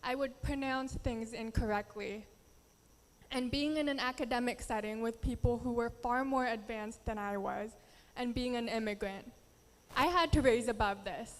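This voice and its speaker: A woman's voice: on the high side, moderate pace, in a large hall. A young woman from the Philippines, Tagalog her first language, giving an earnest prepared speech as a pageant contestant.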